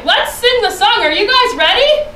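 A woman's voice: extremely high pitch, sing-song, moderate pace, bright, forceful tone. A young woman hosting a children's puppet show, addressing kids between scenes from a little way off the mic indoors. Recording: roomy echo, distant mic, faint noise.

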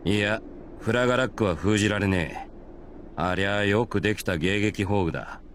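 An actor playing a gruff, confident spearman in a visual novel game, talking in rough, casual, matter-of-fact speech. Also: lowish voice, moderate pace, fairly bright tone, a little hiss or hum, natural voice. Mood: calm, blunt. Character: gruff, confident male spear-wielding warrior, blunt and unflinching.

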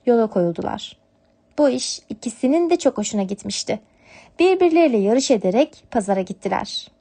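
A woman's voice: fairly high pitch, darkish tone. A woman narrating a scripted storybook tale for children as a storyteller.

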